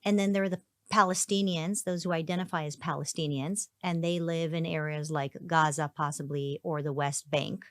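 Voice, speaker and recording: Woman interviewer, low voice, measured and pointed, dry close sound. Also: steady tone, no echo. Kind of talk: sit-down interview.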